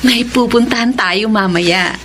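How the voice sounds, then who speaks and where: An actress playing a playful young coworker in a radio drama, casual and insistent. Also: female voice, on the high side, a little slow, a bit bright, a little hiss or hum. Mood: coaxing. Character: young female coworker friend, coaxing, playful.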